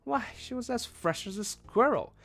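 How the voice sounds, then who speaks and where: A man reading a novel aloud as a streamed read-along, with expressive, emphatic phrasing. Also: very high-pitched, moderate pace, creaky, faint music behind. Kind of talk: read-along audiobook narration.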